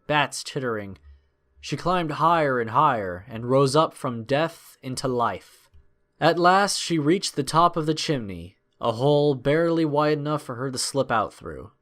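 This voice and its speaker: A man narrating a scripted adventure story in a measured, expressive, dramatic storytelling style. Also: medium pitch.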